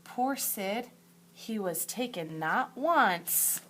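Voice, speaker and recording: Slow-paced woman teacher reading a storybook aloud. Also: mid-range voice, expressive, fairly bright tone, a little hiss or hum. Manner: deliberate, emphatic storytelling with asides to young pupils. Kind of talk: expressive picture-book read-aloud for second graders.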